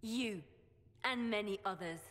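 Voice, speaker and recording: An actress playing a cool, suspicious advisor in a fantasy game, speaking in a measured, serious way. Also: female voice, mid-range voice, fairly bright tone, close to an everyday voice. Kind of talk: dramatic fantasy game acting. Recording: reverberant hall with faint music behind.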